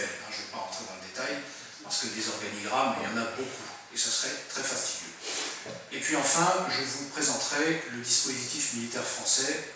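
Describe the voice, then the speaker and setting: A middle-aged man gives a lecture as a military officer, hesitating often and restarting his phrases. He is heard from a distance in a very echoey room with faint ambient noise. His voice sits at an ordinary pitch. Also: pushed and bright. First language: French. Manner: hesitant, many filler sounds and restarts. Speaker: military officer giving a talk. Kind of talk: lecture presentation. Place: conference room. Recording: very echoey room, mic far off.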